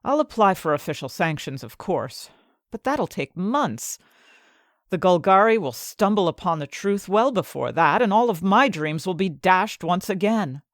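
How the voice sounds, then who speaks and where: An actress playing an earnest, anxious young woman chemist in a fantasy audio drama, confiding her own story in an expressive way. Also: on the low side, moderate pace, no echo, studio quality, lightly acted. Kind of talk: first-person scripted narration in fantasy audio drama.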